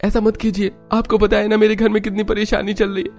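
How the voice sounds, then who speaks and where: A man's voice: sits high, completely flat delivery, fast, darkish tone. A man playing an earnest, supportive young husband in a cartoon story, with music behind him. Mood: troubled.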